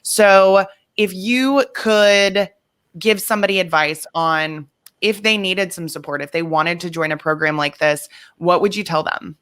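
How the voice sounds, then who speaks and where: Bright-voiced woman hosting an interview. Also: lowish voice, fairly even intonation, unhurried, clean tone. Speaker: host interviewing a client; business coach. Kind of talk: conversational interview.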